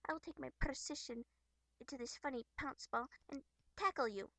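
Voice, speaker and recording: A playful young troll girl, put on by a woman for an amateur webcomic voice reel. She is high-pitched, theatrical and teasing, with a defiant streak. The sound is dry. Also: brisk, bright, forceful tone, dry recording, full character voice. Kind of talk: amateur character voice acting from a webcomic.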